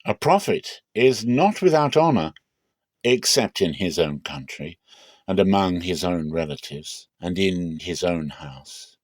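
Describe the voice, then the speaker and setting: A man voicing a calm, authoritative teacher and healer in a measured, solemn dramatic scripture reading. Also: low voice, no room sound, voice pushed down.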